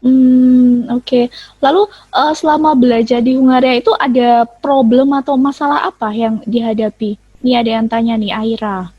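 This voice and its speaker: A woman hosts an online Q&A, reading out questions in a friendly manner. Her voice sits somewhat high and sounds breathy. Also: flat delivery, brisk, no echo, highs cut off. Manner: friendly, reads out questions, many backchannels. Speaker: host, moderator. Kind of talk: hosting online sharing session.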